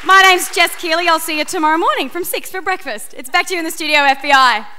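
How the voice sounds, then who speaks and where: A woman's voice: very high-pitched, fast talker. A woman hosting a live radio event and announcing thanks to the cast. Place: theatre studio stage with live audience. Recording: noisy live venue with audience crowd.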